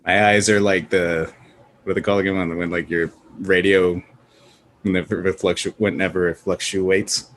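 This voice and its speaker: A man's voice: low-pitched, flat delivery, moderate pace, fairly bright tone. A man in casual panel chat with a joking, irreverent manner.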